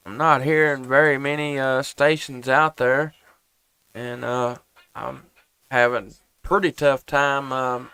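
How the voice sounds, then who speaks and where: Crisp, bright man on ham radio. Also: mid-range voice, no room sound. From Tennessee. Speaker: amateur radio operator, live streamer. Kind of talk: casual ham radio contact talk.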